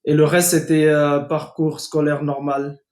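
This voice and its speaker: Young man telling his story, halting, in an echoey room. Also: a little high, little pitch movement, a little mellow. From Guinea. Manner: hesitant, many fillers and restarts. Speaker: podcast guest. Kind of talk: casual interview talk. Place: home in Atlanta. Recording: echoey room over a remote call.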